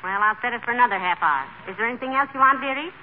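A woman's voice: fairly high pitch, animated, rapid-fire, narrow, tinny audio, lightly acted. An actress playing a patient middle-aged wife who indulges her husband sweetly and teases him dryly, in 1940s radio sitcom style. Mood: indulgent. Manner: sweetly indulgent with dry teasing. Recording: thin, hissy 1940s radio broadcast.

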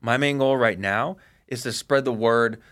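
A man in his thirties from Washington State, a fitness coach guest talking casually and quickly, with lots of "you know" and "like". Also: mid-range voice, fairly bright tone, clean tone. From Washington State, USA. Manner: rapid, conversational, frequent "you know" and "like". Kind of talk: casual interview chat.

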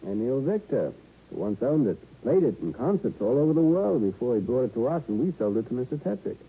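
Genteel, slightly bewildered dealer, precise radio drama diction, lively pitch, narrow vintage broadcast sound. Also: male voice, a little fast, breathy edge, full character voice. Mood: regretful. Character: fussy, genteel, puzzled male violin dealer. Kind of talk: 1950s-60s radio drama acting.

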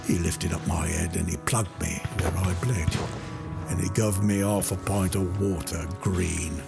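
A man voicing a rough old British soldier, reciting a war poem in heavy with bellowed calls. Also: sits low, exaggerated voice. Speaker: audiobook narrator. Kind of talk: dramatic poetry reading. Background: music.

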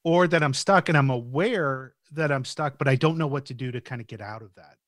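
A man's voice: mid-range voice, brisk, dry recording. Casual male interview host, dry sound. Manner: casual, encouraging questions. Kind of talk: conversational interview.

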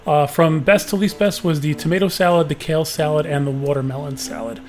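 A man hosting a podcast with a booming voice, telling a story in an easygoing, chatty way. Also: brisk, darkish tone. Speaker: podcast host. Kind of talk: casual podcast recap.

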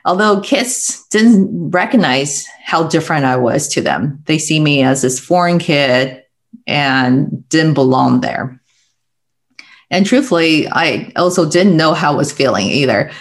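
A woman's voice: low voice, animated. Reflective Taiwan-born woman telling her story. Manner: reflective, halting, with small restarts. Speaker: guest. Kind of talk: conversational interview storytelling.

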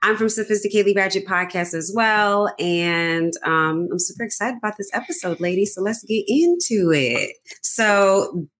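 A woman's voice: medium pitch, lively intonation, moderate pace, fairly bright tone. A woman hosting a roundtable podcast, upbeat and keeping the talk moving. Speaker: podcast host. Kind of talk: casual roundtable chat. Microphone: video call.

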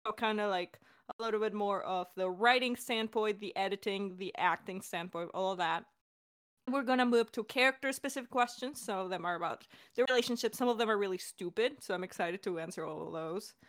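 A young woman hosting a casual podcast chat, talking in a bubbly, excitable and informal way. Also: mid-range voice, a little fast. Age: young adult. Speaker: director and voice actor hosting a Q&A.

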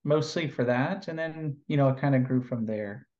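A man from California being interviewed about his work as an NLP trainer, answering in a relaxed, reflective way with lots of fillers and pauses. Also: mid-range voice, highs cut off.

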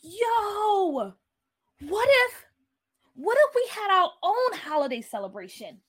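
A woman's voice: a little high, animated, normal speed, a bit bright. A woman voicing a casual, musing schoolboy in a story, slangy and a little hesitant.

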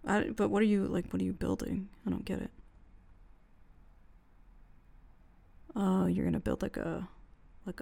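A woman playing an affectionate, curious, easily horrified tomboy girlfriend in a casual, softly spoken ASMR roleplay. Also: on the high side, gravelly, exaggerated voice. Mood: amused, curious. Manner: soft, hushed, unhurried, casual with hesitant restarts. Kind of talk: girlfriend roleplay ASMR audio, casual improvised-sounding chat.

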